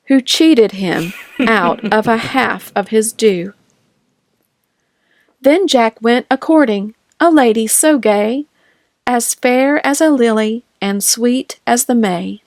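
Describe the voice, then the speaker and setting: A woman reading nursery rhymes aloud from a picture book in a measured, sing-song manner. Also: a little high, no echo. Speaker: storybook reader.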